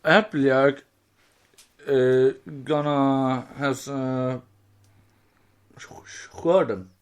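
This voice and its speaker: A man with a Swedish accent chatting as a podcast co-host, halting as he searches for words in English. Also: mid-range voice, fairly even intonation, clean tone. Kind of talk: casual fan podcast chat.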